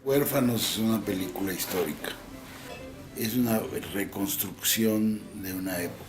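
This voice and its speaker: A man speaking slowly and deliberately as he explains a historical film. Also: fairly low pitch. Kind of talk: commentary on a film. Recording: roomy echo over loud music.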